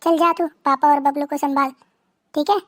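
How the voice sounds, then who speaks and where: An actress playing a gravely ill young mother, soothing her crying daughter in a soft, reassuring way. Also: high-pitched, steady tone, moderate pace, no room sound, lightly acted. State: weak, ill. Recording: faint music behind.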